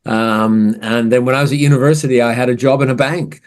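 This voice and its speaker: A man in his sixties from Canada, a podcast guest telling his career story in a relaxed, conversational way. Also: mid-range voice, brisk. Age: 60s. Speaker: podcast guest, finance strategist. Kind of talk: casual interview answers.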